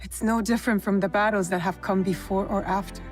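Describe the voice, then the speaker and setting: A woman's voice: mid-range voice, steady tone, brisk, soft background music, close to an everyday voice. Game role: direct, guarded young female shinobi acted by a woman, dryly wry, medium register. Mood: sober. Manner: cool, direct, restrained. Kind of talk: video game cutscene acting.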